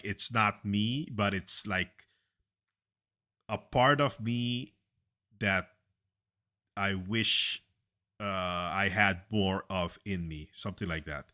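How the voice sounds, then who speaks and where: Deep-voiced, loud, bright-toned man. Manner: loud and opinionated, lots of fillers and restarts. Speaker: podcast co-host. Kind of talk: casual conversation.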